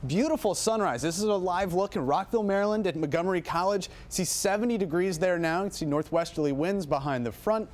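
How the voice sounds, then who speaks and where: A man delivers the morning weather forecast on local TV, relaxed and chatty, adding casual asides as he goes. His voice sits a little higher than most men's. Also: quick pace, few pauses. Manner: relaxed, chatty, thinking aloud. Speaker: TV meteorologist.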